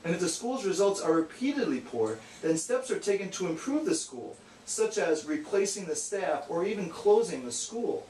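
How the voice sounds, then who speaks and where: A man's voice: high voice, sing-song, moderate pace, few pauses, mellow. A man giving an earnest, matter-of-fact scripted educational explanation as the straight man of a puppet show. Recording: echoey, distant, faint noise.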